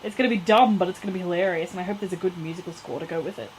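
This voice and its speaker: A woman's voice: lowish voice, rapid-fire, darkish tone. A woman co-hosting a podcast, chatting casually with dry, joking remarks. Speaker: podcast co-host. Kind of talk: casual chat. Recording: noisy room ambience.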